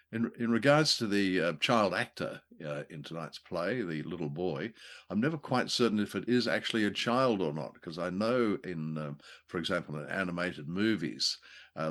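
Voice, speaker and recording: Halting, low-voiced man chatting. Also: fairly bright tone. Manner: halting, hesitant phrasing. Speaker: podcast co-host. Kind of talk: casual unscripted discussion.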